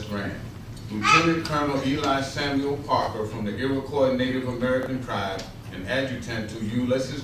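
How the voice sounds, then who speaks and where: Heavily echoing male narrator, formal delivery. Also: mid-range voice. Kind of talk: scripted historical narration, readers theater. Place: echoing room at a stage rehearsal. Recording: very echoey, noisy room, distant mic.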